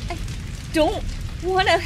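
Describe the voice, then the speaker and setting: A woman's voice: very high-pitched, fairly even intonation, slow, noisy background. A woman voicing a frightened, pleading young girlfriend in a melodramatic game mod cutscene. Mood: scared, pleading.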